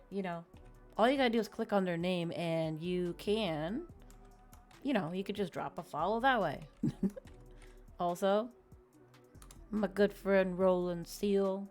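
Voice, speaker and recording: A woman's voice: medium pitch, darkish tone. A woman live streamer chatting casually and informally to her viewers in a friendly way. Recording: music playing behind the voice. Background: background music.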